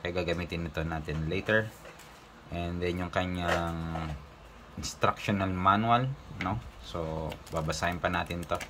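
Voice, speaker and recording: A Filipino man hosting a casual unboxing vlog, mixing Tagalog and English in a halting, hesitant way. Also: low-pitched, fairly even intonation, noisy background. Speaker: motovlogger host.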